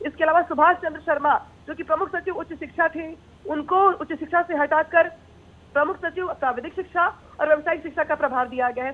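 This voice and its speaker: A woman news reporter giving a live report in an explanatory, halting way with repeated words. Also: high voice. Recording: tinny narrow phone line.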